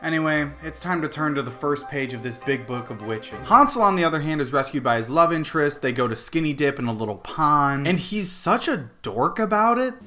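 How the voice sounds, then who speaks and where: A man's voice: fairly high pitch, animated, a little fast, hardly any pauses, pushed and bright, faint music behind. A young man hosting a comedic film recap, talking in a sarcastic, wry way. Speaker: video host reviewing a film.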